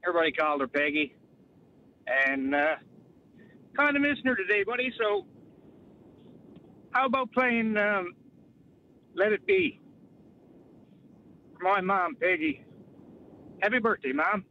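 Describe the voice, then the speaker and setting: A man leaving a casual, halting, heartfelt request as a radio caller. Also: high voice, big pitch swings. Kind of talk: casual phone message. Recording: slightly dull, noisy call.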